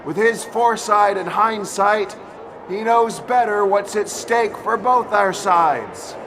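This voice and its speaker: A man's voice: high voice, animated, slow, background noise. A man playing a proud warrior of ancient Greek epic, addressing armies in formal, declamatory oratory for an audio drama. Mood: grave, resolute.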